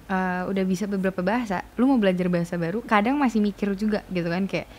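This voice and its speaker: A woman's voice: medium pitch, very fast, a little hiss or hum. A young woman from Indonesia, hosting a casual podcast. She laughs easily and talks in a thoughtful, reassuring way. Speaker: podcast host.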